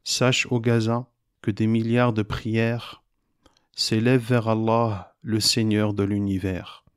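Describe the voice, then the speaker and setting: A man recites poetry at a very slow pace in a fairly low voice. His delivery is grave and measured, with pauses that set each short phrase apart. The sound is very dry, with next to no room in it. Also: little pitch movement, clean tone, no room sound. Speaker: poet reciting own poem.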